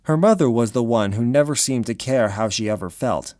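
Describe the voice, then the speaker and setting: A man's voice: medium pitch, a little fast, darkish tone, dry recording, studio quality. A man reading scripted story narration for an audio drama.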